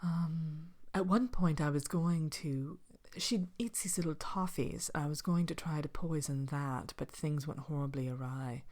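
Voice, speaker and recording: A woman playing a vain, haughty evil queen from a fairy tale, speaking softly and often whispering in an ASMR character monologue. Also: low-pitched, a little slow, few pauses. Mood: smug, dry. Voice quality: soft-spoken, often breaking into whisper. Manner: haughty, languid, dryly wicked. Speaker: character host of an ASMR show.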